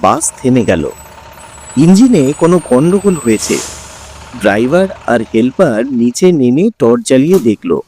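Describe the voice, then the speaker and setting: A man narrating a scripted first-person horror story, dramatic and suspenseful, in deliberate phrases broken by pauses. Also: medium pitch, very animated, mellow, a little hiss or hum.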